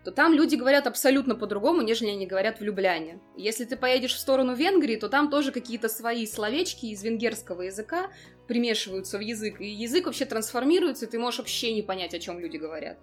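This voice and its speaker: A woman's voice: a little high, expressive, quick pace, soft background music. A young woman in a casual conversation, explaining at length with lots of fillers. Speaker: interview guest.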